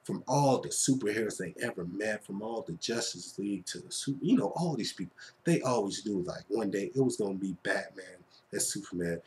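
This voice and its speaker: A man's voice: fairly low pitch, expressive, brisk, a little mellow, poor audio. A man giving a casual, enthusiastic film review, gushing conversationally and repeating phrases for emphasis. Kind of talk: casual, enthusiastic fan review to camera or mic.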